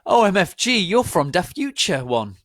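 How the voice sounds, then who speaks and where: A man voicing an emo, naive young male Satanist, whiny and excitable in an over-dramatic way. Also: high voice, normal speed, no room sound, clean studio sound, voice pushed up. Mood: anxious.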